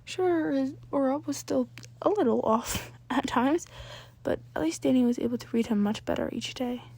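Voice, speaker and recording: A woman's voice: high voice, normal speed, vocal fry, mellow, slightly rough, dry recording. A woman reading scripted fiction aloud as an amateur story narrator.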